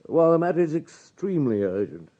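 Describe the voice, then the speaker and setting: A slightly dull radio play recording of a shrewd police superintendent. His voice is mellow, moving freely up and down as he courteously and relentlessly presses his point. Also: fairly high pitch, big pitch swings. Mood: polite but firm. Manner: polite, measured, insistent. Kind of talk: radio drama acting.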